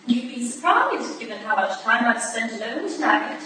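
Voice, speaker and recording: A woman playing a poised, sharp-tongued society wife, arch and drily amused, in a staged reading of a period drama. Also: on the high side, sing-song, normal speed. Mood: dry, pointed. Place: staged reading in a large echoey room. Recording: echoey hall from far off, clear noise.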